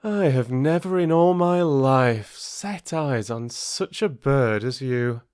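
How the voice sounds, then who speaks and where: A man voicing a sly male fox who flatters a crow in smooth, wheedling tones, pitched a little above his own voice. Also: slow. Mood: flattering.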